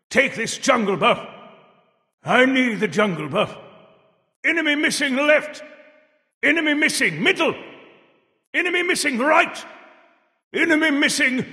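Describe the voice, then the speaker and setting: A man's voice: very high voice, sharp tone, heavily acted. An actor playing a jovial, grandfatherly old Father Time in a video game, theatrical, emphatic and folksy. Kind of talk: video game character voice lines. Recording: long reverberant echo.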